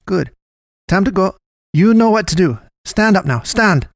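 A man voicing a small, brisk, jokey flying alien in a story, speaking clipped, stilted broken English. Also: high-pitched, expressive, vocal fry, no echo, studio quality, pitched above the performer's own voice, heavily acted. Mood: brisk, amused.

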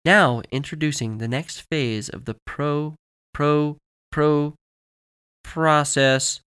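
A polished corporate spokeswoman, acted out by a male narrator, upbeat and measured. The voice sits a little high and delivers each line like a promotional announcement. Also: fairly even intonation, fairly bright tone, clean tone, no echo, studio quality. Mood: upbeat, soothing.

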